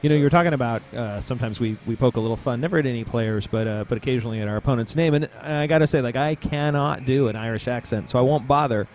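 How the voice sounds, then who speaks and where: A man doing color commentary on a youth hockey game, conversational and quick to joke. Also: medium pitch, a little mellow.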